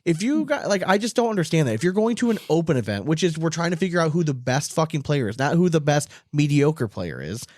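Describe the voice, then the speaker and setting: Man, podcast host, raised pitch, emphatic, opinionated, sweary, casual chat, dry recording. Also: very animated, fast, few pauses, a little mellow, dry recording.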